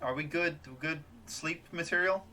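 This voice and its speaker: A man's voice: on the high side, steady tone, unhurried, sharp tone. A man chatting casually with friends on a gaming stream, cracking jokes and laughing often.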